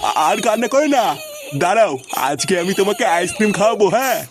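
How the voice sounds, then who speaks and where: High-voiced, fretful old grandpa played by a man. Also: quick pace, natural voice. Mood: coaxing. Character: doting, fretful old grandfather who curses when cross. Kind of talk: comic cartoon dialogue. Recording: clearly noisy background.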